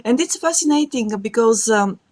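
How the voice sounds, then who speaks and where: A woman whose first language is Bulgarian, co-hosting a casual chat, speaking thoughtfully with many pauses and fillers. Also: fairly high pitch, lively intonation, darkish tone. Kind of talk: casual conversation.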